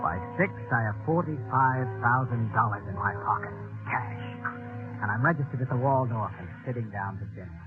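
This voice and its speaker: A man's voice: medium pitch, expressive, normal speed, natural voice. An actor playing a nervous man with a guilty secret, hesitant and stammering, in 1940s radio style. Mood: self-satisfied. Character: nervous lucky man hiding a murder. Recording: muffled old radio recording, music behind.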